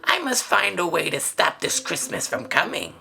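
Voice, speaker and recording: A woman's voice: on the low side, big pitch swings, normal speed, gravelly, sharp tone, voice pushed down, exaggerated voice. A woman voicing a sour, scheming old male monster from a storybook, sneering and sly, with a growl. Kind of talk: storybook character voice.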